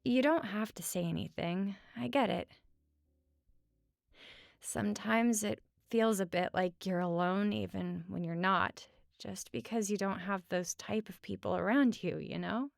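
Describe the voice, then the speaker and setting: Casual, creaky-voiced sarcastic roommate, played by a woman. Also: medium pitch, no echo, clean studio sound. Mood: gentle concern. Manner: casual, hesitant pauses, wry teasing. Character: sarcastic, teasing but caring young female roommate. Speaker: audio roleplay performer. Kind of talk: conversational comfort audio roleplay at regular speaking volume.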